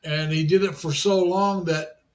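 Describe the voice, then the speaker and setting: A man's voice: high-pitched, steady tone, normal speed. A man hosting a livestream in casual group chat, greeting guests and reading out viewer comments. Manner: chatty, reads out viewer comments. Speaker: livestream host.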